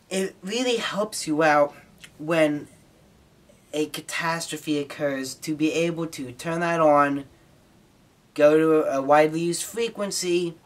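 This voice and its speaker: Higher-pitched American man explaining informally. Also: fairly bright tone. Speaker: hobbyist presenter. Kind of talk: informal explanatory talk to camera.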